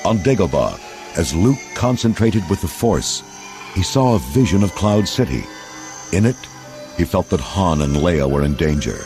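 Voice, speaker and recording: A man's voice: sits low, expressive, normal speed. A man reading scripted, dramatic narration for a children's readalong storybook. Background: orchestral music.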